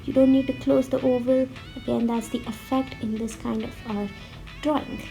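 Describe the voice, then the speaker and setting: A woman with a fairly high, mellow voice walks through a drawing tutorial in a friendly, easy manner. She sounds a little under the weather. Soft music plays faintly underneath. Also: normal speed, gravelly. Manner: friendly, relaxed, explaining as she draws. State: slightly sick voice. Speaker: art tutorial host. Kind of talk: step-by-step drawing tutorial voice-over. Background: faint background music.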